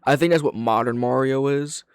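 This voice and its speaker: Male reaction host: average pitch, dry close sound, casual, opinionated, sweary. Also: steady tone, brisk, smooth, no room sound.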